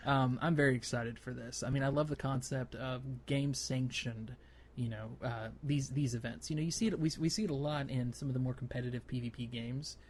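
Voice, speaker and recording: A man's voice: medium pitch, steady tone, a little fast, a little hiss or hum. A man hosting a gaming podcast, explaining things casually in a loose, hesitant way. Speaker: podcast host.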